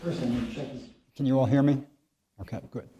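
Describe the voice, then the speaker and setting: Soft-voiced man in his seventies, very mellow. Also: mid-range voice, steady tone, fast talker, muffled recording, a little hiss or hum. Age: 70s. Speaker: guest lecturer, physician. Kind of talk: lecture.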